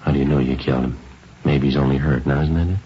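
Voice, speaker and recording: An actor playing a calm, businesslike police sergeant in an old radio drama, clipped and matter-of-fact. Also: male voice, very deep voice, fast talker, a little hiss or hum. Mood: calm, gently firm. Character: calm, businesslike police sergeant who narrates his cases. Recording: dull old radio recording, music.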